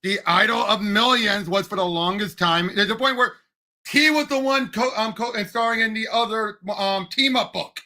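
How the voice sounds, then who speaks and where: A man in casual fan chat as a show co-host, excitable and emphatic, often halting mid-thought. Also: high-pitched. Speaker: co-host of a movie and comics discussion show.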